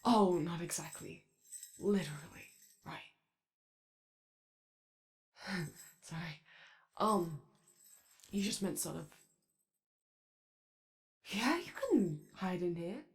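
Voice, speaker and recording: An anxious, eager-to-please animatronic daycare attendant acted by a woman in an ASMR roleplay. The voice is slow and soft with a fry in it. The speech is hesitant and rambling, always keen to be friendly. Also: mid-range voice, animated. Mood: gentle, rambling.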